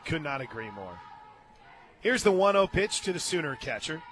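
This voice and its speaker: An American man doing live play-by-play radio commentary on softball, chatting between the calls. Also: on the high side, sing-song, moderate pace. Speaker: play-by-play announcer. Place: outdoor stadium radio booth.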